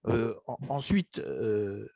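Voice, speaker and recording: A man's voice: lowish voice, sing-song, slow pace, gravelly, warm tone, no echo. An older man from Egypt telling his memories in an interview, hesitant, with many restarts and fillers. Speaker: author interviewed about his book. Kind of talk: casual interview, personal memories.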